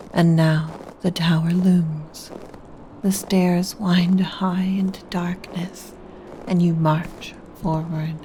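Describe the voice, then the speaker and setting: A woman voicing a seductive, taunting undead lich queen in a breathy whisper, as a slow, teasing ASMR roleplay monologue. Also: on the low side, noisy background. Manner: slow, deliberate, teasing, regal. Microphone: close mic.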